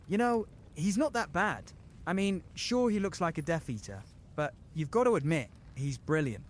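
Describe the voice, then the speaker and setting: A man's voice: on the high side, expressive, moderate pace, a little hiss or hum, close to an everyday voice. A man playing a loyal, blunt teenage wizard in an audio drama. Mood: admiring.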